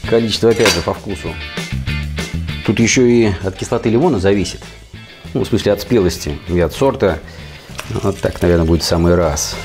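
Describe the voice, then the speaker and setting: Low, hoarse man hosting a cooking show. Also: a little fast, pauses often. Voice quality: hoarse, husky. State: hoarse from a cold. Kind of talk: casual recipe instruction. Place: home kitchen. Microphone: lavalier clipped to T-shirt. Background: guitar music.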